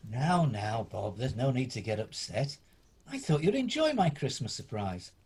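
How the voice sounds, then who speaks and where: A man playing old Ebenezer Scrooge, a gruff miser turned benefactor, in an amateur radio play, with a husky, deliberate, theatrical delivery. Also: medium pitch, sing-song, crowd noise. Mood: kindly.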